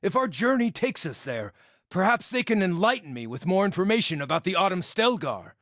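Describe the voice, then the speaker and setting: An actor voicing a small, scarred outcast druid in a video game, wry, cheeky and theatrical, with a wordy way of talking. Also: male voice, sits high, sing-song, fairly quick, fairly bright tone, no room sound, clean studio sound. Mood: earnest. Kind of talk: video game character dialogue.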